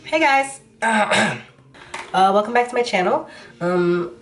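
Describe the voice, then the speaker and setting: A woman's voice: medium pitch, expressive, slow, fairly bright tone. A young woman vlogger with a slightly nasal voice from a recent cold, chatting frankly while she does her makeup. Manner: casual, frank, talks while applying makeup. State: nasal after being sick. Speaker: beauty vlogger. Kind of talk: chatty makeup tutorial talk.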